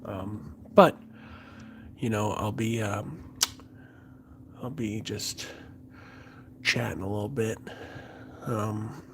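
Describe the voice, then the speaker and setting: A man with a raspy, hoarse voice after being sick, chatting casually on a livestream with halting speech and many fillers while he answers viewers. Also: fairly low pitch, fairly even intonation, noisy background. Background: music playing softly.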